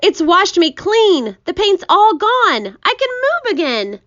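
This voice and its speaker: A woman's voice: very high-pitched, sing-song, slow pace, muffled recording, voice pushed up, heavily acted. A woman voicing a boisterous, boastful male centipede in a children's storybook, exclaiming and singing out gleefully. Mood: excited, gleeful.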